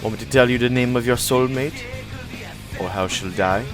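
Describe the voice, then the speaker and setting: A man's voice: medium pitch, moderate pace, over music, natural voice. Man putting on an eerie fake-Jamaican street psychic. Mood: menacing. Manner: smooth, unsettling, prophetic taunting. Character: mysterious male street psychic faking a Jamaican accent. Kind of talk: audiobook character voice.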